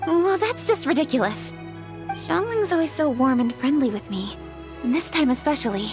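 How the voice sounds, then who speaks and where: A woman's voice: high voice, lively intonation, normal speed. A woman voicing a proud, self-conscious young lady in an anime-style video game, composed but slightly defensive. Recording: music under the voice. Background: game music.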